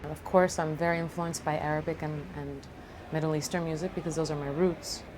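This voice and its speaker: A woman from Venezuela speaking reflectively in an interview as a singer-songwriter, thoughtful and halting, often pausing mid-phrase. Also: sits low, fairly even intonation, background noise.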